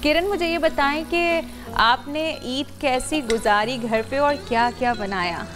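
A woman's voice: sits high, measured pace. A woman with a Pakistani accent presenting a cooking show, questioning a guest and repeating back answers. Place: TV kitchen studio. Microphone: lapel mic. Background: music.

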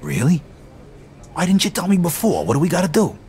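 An actor playing a young, tough, plainspoken mobster in a game cutscene, casual and streetwise. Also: male voice, sits high, wildly swooping pitch, a little fast, darkish tone, slight background noise. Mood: curious, surprised.

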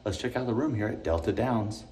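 Chatty deep-voiced man touring a hotel room. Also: fairly quick, very noisy. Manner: chatty, upbeat walk-through with frequent asides. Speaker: casino vlogger. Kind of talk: casual hotel room review. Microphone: handheld phone camera.

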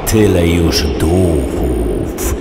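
A man delivers poetry dramatically and extremely slowly, breaking it into short, deliberate phrases. His voice echoes strongly, with loud music behind it. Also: a bass voice, lively intonation. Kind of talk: dramatic poetry recitation in an audio play. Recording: roomy echo, loud music.

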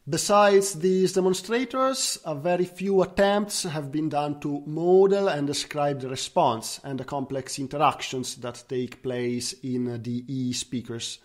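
A man presenting scientific research as a conference speaker, in the style of a technical slide talk. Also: on the high side. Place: recorded online slide talk.

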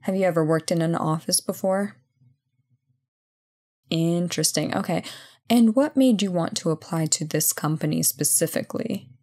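A woman playing a brisk, playful company boss in an audio roleplay job interview, chatty and full of wry asides. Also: lowish voice, dark tone. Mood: brisk, teasing. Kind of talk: ASMR roleplay, one-sided conversation.

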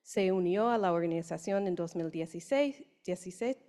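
A woman in her forties, a non-native speaker, introducing panelists at a conference with a handheld mic, halting and hesitant. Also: fairly low pitch, lively intonation, mellow. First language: English. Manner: hesitant, halting, slow with restarts. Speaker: session moderator. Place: conference room on stage.

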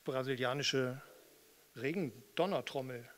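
A man's voice: mid-range voice, measured pace. An older man, a stage performer, bantering casually between songs into a microphone on a stand. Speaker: performer, singer and spoken-word artist. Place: small theatre with red seats and curtains. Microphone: studio mic on stand with pop filter.

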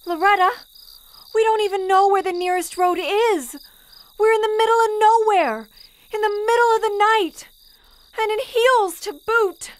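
High-pitched, fretful young woman on the run. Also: measured pace, slight background noise, rough recording. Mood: exasperated. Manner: hesitant, fretful. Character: frightened young woman bank teller on the run. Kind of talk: period suspense audio drama acting.

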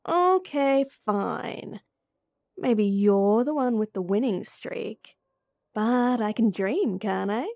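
A woman's voice: a little high, expressive, very slow, long pauses, a little mellow, heavily acted. A woman voicing a young woman who teases her childhood friend while hiding a crush, switching between whispered inner thoughts and playful banter in an audio roleplay. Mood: playful teasing. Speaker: voice actress.

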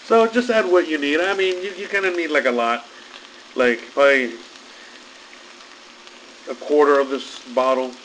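A man's voice: on the high side, steady tone, normal speed, pauses often, a bit bright, poor audio. Easygoing man chatting over kitchen noise. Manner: easygoing, rambling. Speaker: home cooking vlogger. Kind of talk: casual cooking vlog chat. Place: home kitchen, indoors. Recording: noticeable background noise in a kitchen.

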